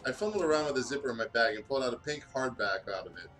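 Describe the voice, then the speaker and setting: A man's voice: medium pitch, expressive, very fast, a bit bright, faint music behind. A man reading fan fiction aloud in a casual style as a co-host of a gaming video.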